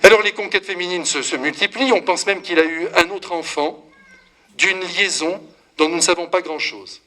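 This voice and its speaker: Un prêtre d'une cinquantaine d'années prêche un sermon avec vivacité, taquine l'assemblée et s'adresse directement à elle. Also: male voice, high-pitched, normal speed. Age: 50s. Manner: lively, teasing, talks straight to the congregation. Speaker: priest.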